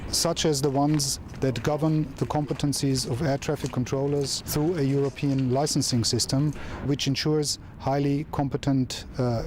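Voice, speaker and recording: A man speaking formally and in a measured way as an official giving an interview statement. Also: mid-range voice, darkish tone. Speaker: aviation safety official. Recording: faint background noise.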